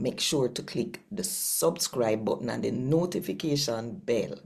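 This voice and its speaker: Very low, slow Jamaican woman's voice. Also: vocal fry, dark tone. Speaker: host and scripture reader. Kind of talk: channel talk and expressive Bible reading.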